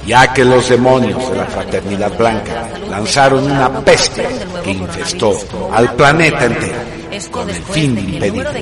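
A man narrating a radio drama from a script in a grave, declamatory manner. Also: lowish voice. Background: prominent music.

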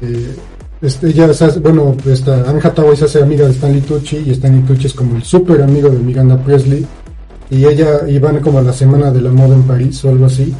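A man's voice: mid-range voice, steady tone, a little fast, warm tone, soft background music, poor audio. A man with a Mexican accent chatting casually as a podcast co-host, recounting a story in a rambling, colloquial way with frequent tag questions. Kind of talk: casual chat, recounting a film plot.

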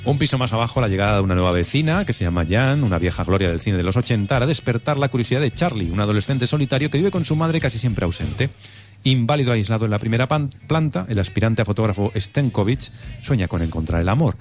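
A man from Spain hosting a radio film show, joking and quick to argue as he reads out film synopses. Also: medium pitch, expressive, soft background music. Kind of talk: film review radio chat.

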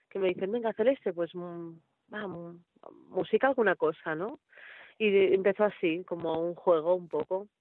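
Woman guest, thoughtful, hesitant, lots of pauses, dry tinny phone-line sound. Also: on the low side, no room sound. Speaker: singer, guest. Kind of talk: conversational interview answers.

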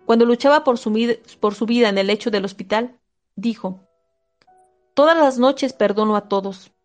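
A woman giving a steady, measured reading of a self-help book, with a few small slips and restarts. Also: a little high, soft background music. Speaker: audiobook reader.

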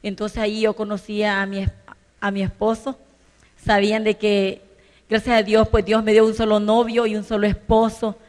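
A woman's voice: medium pitch, completely flat delivery, normal speed, a little mellow. Older Salvadoran woman speaking in a large hall. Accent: Salvadoran Spanish. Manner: earnest, rising to emphatic bursts. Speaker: business conference speaker. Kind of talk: personal testimony speech. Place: large conference hall stage. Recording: long reverb of a large hall.

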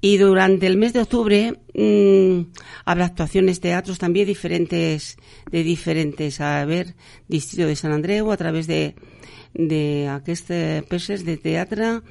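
A woman hosting a live radio show, chatting through announcements in a halting way, with frequent restarts and fillers. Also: on the low side, steady tone, gravelly, a little mellow.

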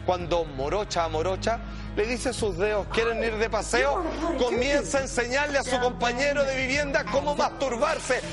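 A man with a Chilean accent presenting and commenting excitedly as a TV show host, emphatic, dramatic and repetitive. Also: very high-pitched, moderate pace. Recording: loud music bed under voice.

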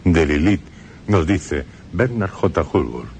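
Male narrator, Spanish accent, radio studio; deep voice, slow and measured, ominous tone. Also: animated, a little mellow, faint music behind. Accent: Spain Spanish.

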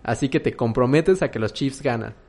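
A man's voice: medium pitch, fairly quick, darkish tone. A man with a Mexican accent co-hosting a podcast in casual chat, playful and dropping English phrases. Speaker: podcast co-host.

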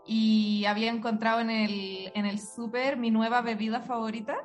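A woman's voice: fairly high pitch, level tone, normal speed, highs cut off, faint music behind. A young adult woman with a Chilean accent, co-hosting a podcast in casual, slangy chat with friends. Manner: informal, slangy. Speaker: podcast host.

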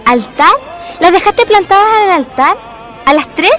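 A woman's voice: extremely high pitch, lively intonation, normal speed, fairly bright tone. A woman playing a young man's new girlfriend who questions him warily in a radio drama. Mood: suspicious. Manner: questioning, wary. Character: Pedro's new girlfriend, suspicious then disgusted. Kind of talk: community radio drama acting. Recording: radio drama with faint music.

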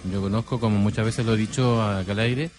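Male radio co-host, Chilean accent, low voice, courteous and formal, clear music bed. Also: fairly even intonation, fast. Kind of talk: live radio chat. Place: radio studio. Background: background music.

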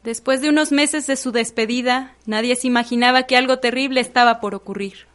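A woman's voice: fairly high pitch, fairly even intonation, fairly quick, a bit bright, slight background noise, lightly acted. A woman narrating a radio soap opera in a solemn, scripted storytelling style.

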